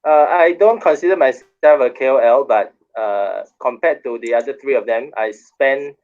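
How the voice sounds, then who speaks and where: A man's voice: medium pitch, moderate pace. A man introducing himself casually as a guest on an online panel, over a muffled video call. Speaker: panel guest living in Thailand.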